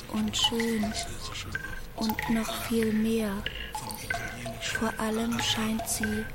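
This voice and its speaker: Casting: a dying female AI, slow, halting and whisper-prone, childlike calm, radio drama under loud music. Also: a little high. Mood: dreamy wonder. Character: dying female artificial intelligence, weary, wondering, childlike calm.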